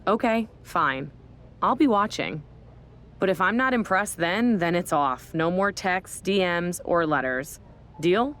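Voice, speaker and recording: A woman playing a skeptical wrestling executive in a video game, speaking in a dry, firm, measured way. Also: on the low side, lively intonation, fairly bright tone. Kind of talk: scripted video game dialogue.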